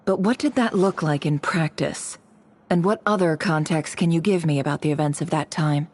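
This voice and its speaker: A woman's voice: low voice, normal speed, darkish tone. An actress voicing a cool, shrewd woman who questions carefully and weighs a bargain, in video game dialogue. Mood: probing.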